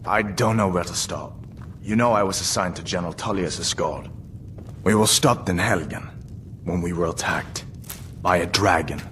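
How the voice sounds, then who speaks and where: A man's voice: sits low, moderate pace, fairly bright tone, slight background noise. A man voicing an earnest, shaken soldier in a fantasy video game, sober and matter-of-fact. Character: earnest, shaken young soldier reporting a dragon attack. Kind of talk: video game character acting.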